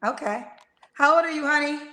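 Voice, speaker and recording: A woman hosting a matchmaking show, briskly quizzing a caller and calling her baby and honey. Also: sits high, sharp tone, roomy sound. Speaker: show host interviewing a caller.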